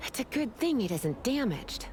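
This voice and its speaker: A woman playing a teasing, quietly menacing young witch librarian in a video game, composed and condescending. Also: on the high side, big pitch swings, moderate pace. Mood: coy, pleased. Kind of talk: video game character acting. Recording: game music behind. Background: game music.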